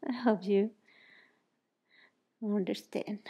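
A woman from Mexico with a Mexican Spanish accent, in her thirties, guiding a yoga exercise in a bright indoor studio, soothing and encouraging, often dropping to a near whisper. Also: mid-range voice, steady tone, very slow, slightly rough. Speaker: yoga teacher. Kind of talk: guided exercise instruction.

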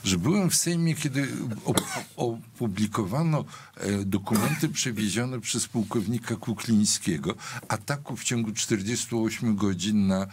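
An older man, a lawyer on a studio panel, making his points in order with a husky voice and sharp emphasis. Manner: measured, enumerating points, pitch jumps for emphasis.

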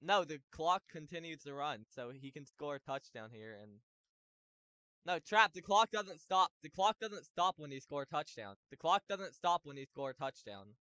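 A young man calling plays in an online football game, shouting touchdowns and then laying down the rules to the players. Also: a little high, expressive, moderate pace, clear, resonant tone, no echo. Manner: hype announcer yelling touchdowns, then bossy about rules. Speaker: game commentator and league host. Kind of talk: excited play-by-play commentary.

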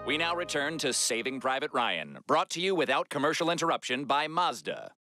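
A polished male TV announcer in a cartoon, over faint music. He reads in a measured, solemn and dramatic way, with strongly moving intonation. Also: on the high side, expressive, pushed and bright. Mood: formal.

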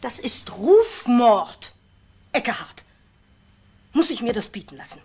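Haughty, indignant wife, high-pitched. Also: female voice, big pitch swings, slow pace, a little mellow, husky, full character voice. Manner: haughty, outraged. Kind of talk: crime radio drama acting.

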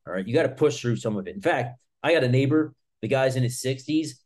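A man's voice: medium pitch, expressive, fast, darkish tone. A man in his mid-thirties giving casual, blunt, emphatic advice to camera as a martial arts channel host, with informal, sweary phrasing.

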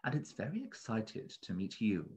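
Shifty storybook gentleman, played by a man, nervous and evasive, polite but hesitant, with swinging pitch. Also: wildly swooping pitch, normal speed. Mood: uneasy, hesitant. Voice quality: high and shaky when nervous. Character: terrible, shifty, nervous wealthy male bird buyer.

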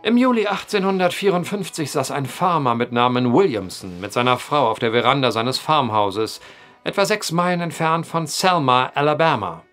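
Wry journalist spinning a creepy tale in a german radio play, deliberate but swoopy delivery, faint music. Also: male voice, a little high, lively intonation, a bit bright. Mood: measured storytelling. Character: wry male journalist telling an eerie old tale. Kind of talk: German audio drama acting, storytelling.

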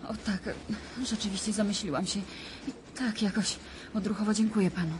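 Woman acting young well-bred lady, radio play, troubled, brooding, hesitant, medium-pitched voice. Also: steady tone, a little fast, noisy background, close to an everyday voice. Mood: startled, hesitant. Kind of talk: 1990s radio drama acting.

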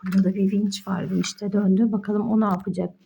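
A woman's voice: mid-range voice, little pitch movement, fast talker, creaky, warm tone, a little hiss or hum. A young woman, a mother, playfully narrating toy play for children, full of exclamations and questions to young viewers.

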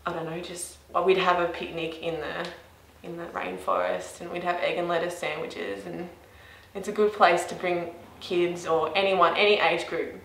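A woman's voice: on the low side, moderate pace, gravelly, fairly bright tone, a little hiss or hum. An Australian woman in her twenties chatting cheerfully and informally in a casual interview. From rural Victoria, Australia. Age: 20s. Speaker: interviewee, graphic designer. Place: small office indoors, echoey. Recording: echoey room sound.

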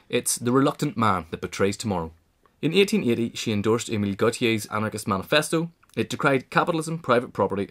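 A man narrator reading a scripted biographical essay aloud. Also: on the low side. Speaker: narrator, reader.

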